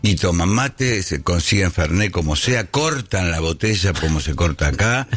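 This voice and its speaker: A man's voice: low-pitched, expressive, normal speed, fairly bright tone, slightly rough, no room sound, soft background music. A man with an Argentine accent hosting a show, chatting and telling stories casually. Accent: Argentine Spanish. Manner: chatty and storytelling. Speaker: TV host. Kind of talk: casual chat.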